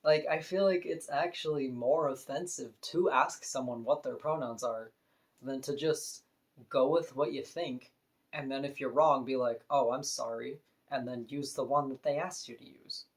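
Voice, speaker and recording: A woman with a deep voice, talking frankly and casually to camera in an opinion vlog, now and then laughing softly. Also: normal speed. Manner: frank, conversational, with soft laughs. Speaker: vlogger.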